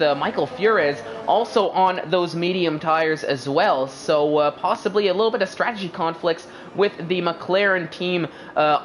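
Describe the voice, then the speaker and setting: A man commentating an online racing league from a commentator's booth, chatty and upbeat, often pausing on "uh" and "um". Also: a little high, a little hiss or hum. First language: English. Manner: chatty, filler-heavy, upbeat. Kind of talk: live race commentary.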